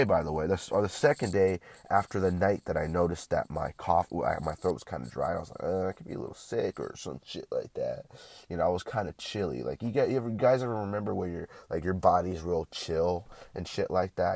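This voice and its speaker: A man's voice: low voice, creaky, dry recording. A young man vlogging casually with a stuffy, congested nose, rambling and swearing often with lots of restarts.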